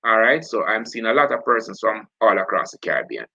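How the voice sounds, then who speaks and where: A man's voice: lowish voice, sing-song, fairly quick, vocal fry, sharp tone, breathy edge, lo-fi sound. A man from Jamaica with a Jamaican accent, hosting a live online revision session and talking through past papers as he goes. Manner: talks while working through papers, self-correcting.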